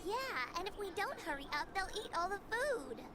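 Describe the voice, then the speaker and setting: An actress playing a brash, impatient young kid in a dubbed fantasy video game. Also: female voice, very high voice, big pitch swings, moderate pace, noisy background. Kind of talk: English anime-style video game dub.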